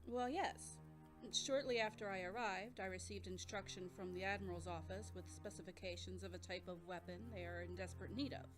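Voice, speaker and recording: A woman's voice: mid-range voice, steady tone, brisk, music in the background, lightly acted. A woman playing a composed military scientist in an audio drama, formal and matter-of-fact in manner. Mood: composed, businesslike.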